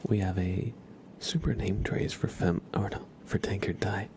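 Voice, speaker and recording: A man hosting an ASMR livestream, speaking in a breathy whisper and soft murmur, soothingly repeating words to viewers at a close microphone. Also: fairly low pitch, steady tone, moderate pace, a little hiss or hum. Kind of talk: ASMR, slow whispered roleplay and chat to viewers.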